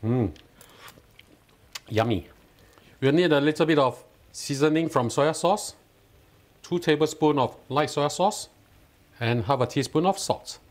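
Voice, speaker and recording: A man's voice: a little high, measured pace, long pauses. A man with a Singaporean English accent talking viewers through a home recipe step by step, instructive and lightly enthusiastic. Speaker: cooking channel host. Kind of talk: cooking tutorial voice-over. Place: home kitchen.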